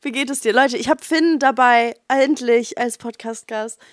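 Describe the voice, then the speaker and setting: A fairly high-pitched young woman chats as a podcast host, teasing her guest and tossing in English slang. She sounds a little under the weather. Her voice is close and dry, with no room sound. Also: moderate pace, no echo. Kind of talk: casual podcast chat. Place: her bedroom, sitting in bed.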